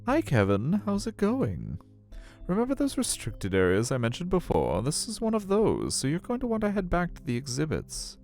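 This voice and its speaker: Squeaky, chirpy aquarium worker. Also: male voice, huge pitch swings, moderate pace, darkish tone. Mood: falsely cheerful. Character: chirpy, evasive aquarium worker shooing kids from restricted areas. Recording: music under the voice.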